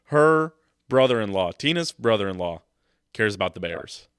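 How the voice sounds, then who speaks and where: A man's voice: on the low side, very animated, normal speed, fairly bright tone, clear, resonant tone, no echo, studio quality. A young man with a stuffed-up nose from a cold, hosting a casual sports podcast and rambling with jokes into his microphone. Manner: rambling, joking, loose. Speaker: podcast host.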